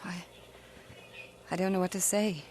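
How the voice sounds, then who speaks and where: An actress playing a shy young woman student, speaking softly and hesitantly, with small stammers, in a radio drama. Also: fairly low pitch, steady tone, a little slow, a little hiss or hum, lightly acted. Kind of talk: 1950s radio drama acting.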